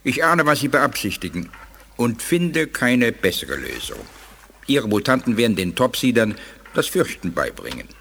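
An actor playing a thoughtful, grave alien adviser in a science fiction radio drama. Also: male voice, mid-range voice, sing-song, normal speed, creaky, a bit bright, a little hiss or hum. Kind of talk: 1980s German radio drama acting.

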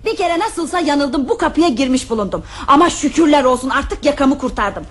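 High-voiced indignant housemaid. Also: female voice, animated, fairly quick. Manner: complaining, emphatic. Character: fed-up, indignant housemaid who is quitting. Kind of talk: Turkish radio theatre acting.

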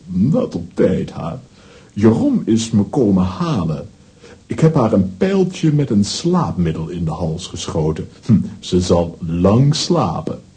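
An actor playing a self-assured scholarly professor in a children's audio play, deliberate and pleased with himself. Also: male voice, low-pitched, sing-song, dark tone, exaggerated voice.

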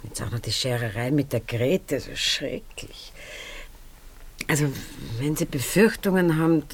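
An actress playing a shrewd, meddling baroness in a radio drama, genteel but colloquial, with a Viennese accent. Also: female voice, low voice, lively intonation, unhurried, slightly rough, heavily acted. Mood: confiding, insinuating. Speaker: radio play actor. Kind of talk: naturalistic radio drama dialogue.

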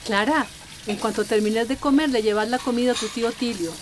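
Woman playing a nagging mother, highish voice. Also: fairly quick, noisy background, lightly acted. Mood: stern suspicious. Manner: scolding, insistent. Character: suspicious, nagging, stern mother. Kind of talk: radio soap opera acting.

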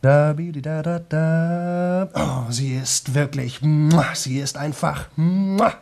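A man's voice: fairly high pitch, slow, gravelly, darkish tone. An actor playing a boastful young man who talks nonstop to an audience in a radio play, chatty, rambling and repetitive. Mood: showy, excited. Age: young adult.

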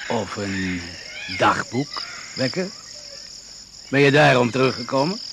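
An actor playing a shrewd, suspicious male doctor in a radio drama, questioning in a dry, deliberate, accusing manner. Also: medium pitch, lively intonation, vocal fry. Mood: suspicious, probing. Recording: noisy, hissy old radio recording.

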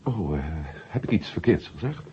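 A man acting in a 1970 radio drama as a discreet, probing family friend, speaking hesitantly with pauses, on an old recording. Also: on the low side, very animated, mellow, muffled recording, slight background noise. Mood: probing, earnest.

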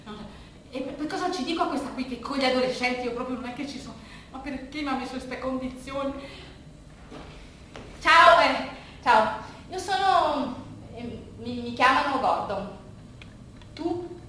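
A woman performing a theatrical monologue as a flustered art restorer who stammers and repeats words haltingly. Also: sits high, lively intonation, bright, forceful tone. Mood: anxious, bewildered. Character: flustered, stammering church fresco restorer called Gordon. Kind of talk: theatrical monologue for radio, narrating and acting. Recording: echoey room, distant mic, faint noise.

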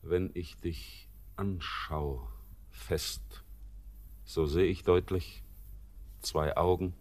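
Brooding, low-voiced seaman, slow and weighty. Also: steady tone, a little mellow. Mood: bitter, searching. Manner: theatrical, weighty verse declamation. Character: brooding, melancholy seaman, bitter and world-weary. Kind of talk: classic German radio drama acting.

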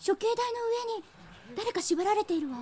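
A woman playing a sweet, polite young maiden with gentle concern in a fantasy audio drama. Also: very high voice, lively intonation, a little fast. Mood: worried. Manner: soft feminine polite phrasing.

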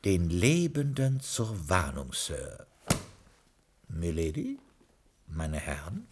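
An actor playing a wry, deferential castle butler in a 1960s German radio play, with a formal, fussy, meandering manner. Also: male voice, low voice, huge pitch swings, slow pace, background noise, full character voice. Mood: fussy, droll. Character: deferential, wry butler of a Scottish castle, spinning family lore. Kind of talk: 1960s German radio play acting, part scripted narration. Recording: studio radio drama from 1963.

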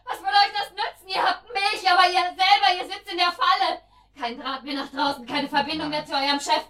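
High-voiced, defiant hostage. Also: female voice, fairly even intonation, fairly quick, sharp tone. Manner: tense, defiant. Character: defiant captive woman held hostage by gangsters. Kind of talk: German radio drama acting. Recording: roomy, distant from the microphone.

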